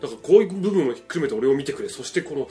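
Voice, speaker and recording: A man's voice: medium pitch, animated, racing. A man giving casual comic advice on a radio show, emphatic and mock-earnest, acting out imagined lines as he goes. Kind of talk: casual comedic advice chat. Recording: music playing behind the voice. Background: background music.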